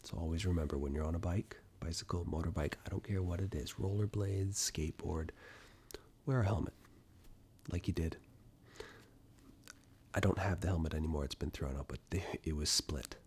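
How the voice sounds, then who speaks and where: A man doing soft-spoken, half-whispered ASMR roleplay, reassuring and unhurried, speaking directly to the listener close to a binaural microphone. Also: slight background noise. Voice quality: breathy whisper mixed with very deep, gravelly murmur. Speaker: ASMR performer playing caregiver and eye examiner roles.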